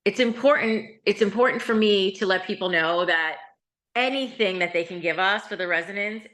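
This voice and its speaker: A woman's voice: mid-range voice, moderate pace, fairly bright tone. A woman who founded a nonprofit, answering interview questions in an earnest, plain-spoken way. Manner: earnest, plain-spoken, frequent fillers and restarts.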